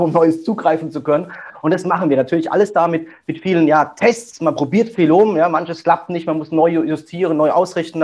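Fast-talking, energetic German businessman. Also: fairly high pitch. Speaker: guest entrepreneur. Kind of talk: casual interview talk.

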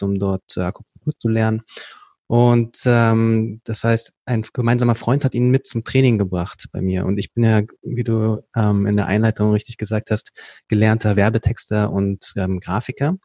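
A man from Berlin with a rather low, warm, mellow voice talks in a relaxed interview, explaining things at length. He often hesitates with fillers and starts sentences over. The sound is dry and close. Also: moderate pace, dry recording. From Berlin, Germany. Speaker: podcast guest, company co-founder. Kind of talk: casual conversational storytelling.

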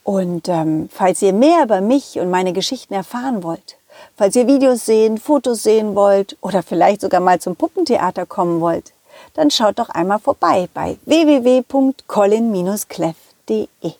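A woman telling a story to children in the first person, with expressive emphasis and direct address to young listeners. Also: medium pitch, normal speed, dry recording, studio quality.

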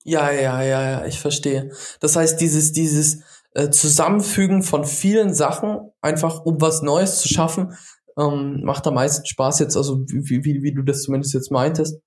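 A podcast host speaks at a middling pitch in an informal interview style. The delivery is enthusiastic, with plenty of affirmation for the guest. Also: steady tone, moderate pace, a little mellow. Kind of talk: casual interview chat.

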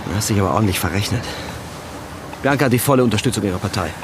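An actor in an audio drama playing an arrogant, contemptuous, well-off husband who talks in a scornful, taunting way. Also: male voice, fairly low pitch, animated, very fast, lightly acted. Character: arrogant, contemptuous wealthy husband spitting insults at an old friend. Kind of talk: German audio drama acting. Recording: clear noise, outdoor urban ambience.

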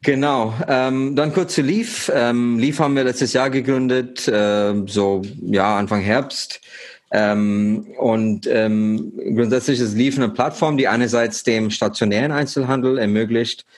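A man in his thirties from India, a startup founder talking as a podcast guest with many pauses and fillers. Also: medium pitch. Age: 30s. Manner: hesitant, many fillers and restarts. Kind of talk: podcast interview.

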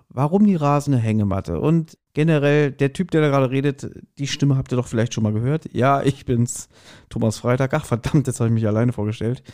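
A man's voice: medium pitch, warm tone. A man hosting a podcast in a casual, chatty and self-ironic manner. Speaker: podcast host.

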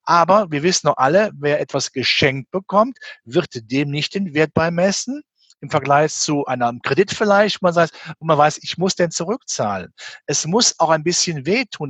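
Guy from the rhineland being interviewed, raspy voice, dry close sound, really argues his point hard. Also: fairly high pitch, a bit bright, no room sound. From Rhineland, western Germany near the Belgian and Dutch border. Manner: emphatic, argumentative, full of rhetorical questions. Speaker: interview guest commenting on economics and finance. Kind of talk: animated spoken opinion in an interview.

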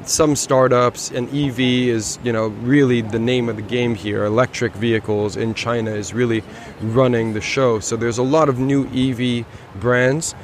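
A man's voice: medium pitch, fairly even intonation, moderate pace, few pauses, slight background noise. A man casually talking about cars on a motor show floor, rambling a little with restarts. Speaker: car show reviewer. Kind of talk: casual walkaround chat. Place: busy motor show hall.

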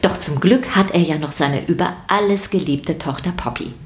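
Low-pitched woman narrating for children. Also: normal speed. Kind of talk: scripted children's storytelling narration.

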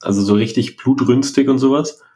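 A man's voice: medium pitch, a little fast, a little mellow. A man co-hosting a podcast in casual banter, with a playful, chatty manner. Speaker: podcast co-host.

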